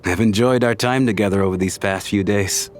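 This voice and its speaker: An actor playing a gentle, weary dead man at peace, resigned and wistful, in a video game dub. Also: fairly low pitch, fairly quick, close to an everyday voice. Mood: relieved, bittersweet. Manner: wistful, resigned, plain-spoken. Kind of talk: English game dub voice acting. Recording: music under the voice.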